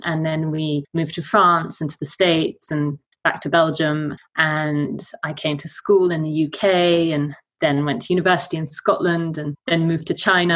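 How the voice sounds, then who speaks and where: A woman's voice: sits low, a bit bright. Chatty British woman telling travel stories. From Britain. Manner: chatty, anecdotal, frequent ums and sorts. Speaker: guest, family travel blogger. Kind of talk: conversational interview.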